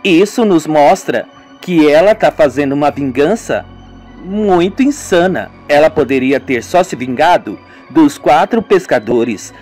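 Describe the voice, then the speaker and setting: A man reading the part of a young man who recounts a crime gravely and passes stern judgment on it. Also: fairly high pitch, darkish tone. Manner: grave, judging.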